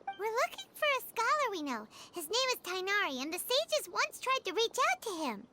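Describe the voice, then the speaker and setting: An actress voicing a tiny, childlike fairy companion in a video game, chatty and exclamatory with a squeaky voice. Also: female voice, big pitch swings, moderate pace, loud music behind the voice. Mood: curious.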